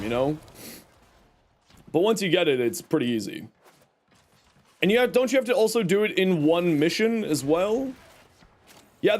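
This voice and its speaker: A young man streaming a game and chatting with viewers, his voice scratchy from a cold, rambling with lots of fillers. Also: on the high side. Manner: rambling, reads and answers chat with many fillers.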